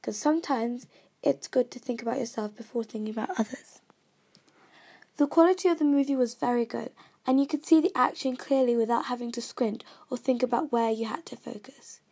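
A woman's voice: fairly high pitch, moderate pace, gravelly, warm tone, slightly rough. A young woman from Kenya with a British accent, earnestly reading out her own movie and song reviews. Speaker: vlogger reviewing movies and music. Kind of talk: scripted review read aloud.

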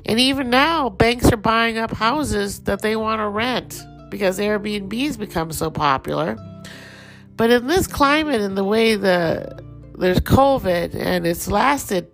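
Gravelly Thai-accented woman astrologer. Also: mid-range voice, unhurried, soft background music. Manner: relaxed, rambling, conversational. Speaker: astrology host. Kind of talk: casual daily astrology forecast.

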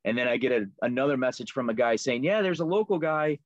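A man's voice: mid-range voice, expressive, fast talker, no echo, lo-fi sound. A man guest talking casually about the fishing lures he makes, easygoing, with many ums and fillers. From Liverpool, New York. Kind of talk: casual interview chat.

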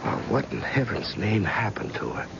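An affable, inquisitive visitor in an old radio play, direct and casual. His voice is low and gravelly with animated intonation, on a noisy vintage recording. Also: normal speed, dull audio, full character voice. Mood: concerned, probing. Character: friendly, curious male visitor, direct and probing. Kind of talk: old-time radio drama acting.

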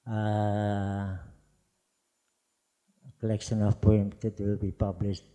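An older man, a poet answering questions on stage in halting, restarting speech. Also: lowish voice, completely flat delivery, dark tone. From Java, Indonesia. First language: Javanese. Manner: halting, searching for words, many restarts. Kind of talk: unscripted panel answers. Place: festival hall stage. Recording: long hall reverb.

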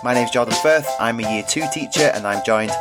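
A man's voice: mid-range voice, a little fast, over loud music. A man hosting a light-hearted podcast, with a cold that leaves him nasal and sore-throated, chatting and laughing at himself. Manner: chatty, self-deprecating, laughs often. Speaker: podcast host and primary teacher.

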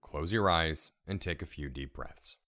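A man leading a guided relaxation meditation in a calm, soothing, measured way, giving gentle step-by-step instructions. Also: extremely low pitch. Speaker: meditation guide, podcast host.

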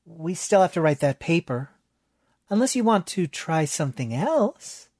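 A man reading a story, voicing a persuasive young female student who talks quickly. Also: fairly high pitch, very animated, darkish tone, dry recording, clean studio sound, voice pushed up. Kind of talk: audiobook character voice.

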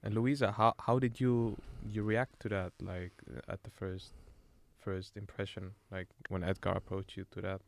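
A man's voice: deep voice, flat delivery, normal speed, gravelly. A young man hosting a student podcast, casual and hesitant with frequent ums. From Egypt and the Netherlands. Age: young adult. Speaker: podcast host. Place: small studio.

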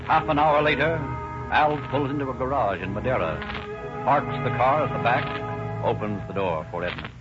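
A man giving measured, scripted narration for a 1930s radio drama. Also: mid-range voice, expressive. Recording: dull old radio recording with loud music behind.

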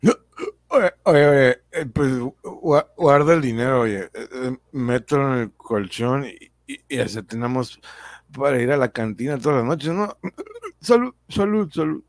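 A man's voice: medium pitch, measured pace, dry recording, natural voice. A man playing an eager, pushy young neighbour in a radio serial, stammering and repeating himself breathlessly. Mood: conspiratorial. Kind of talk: radionovela acting.

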